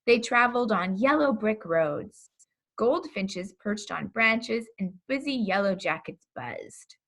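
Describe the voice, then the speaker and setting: A woman reading a children's story aloud in an expressive, deliberate storytelling manner. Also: mid-range voice. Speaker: pastor reading a children's book.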